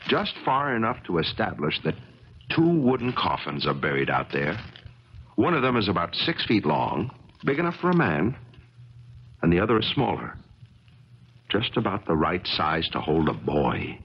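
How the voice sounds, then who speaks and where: A man's voice: low-pitched, sing-song, normal speed, breathy edge, exaggerated voice. An actor playing a resolute, suspicious, protective man with a blunt, grave manner in a radio drama. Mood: grave, urgent. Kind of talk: 1970s radio drama acting. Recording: dull crackly 1970s radio recording.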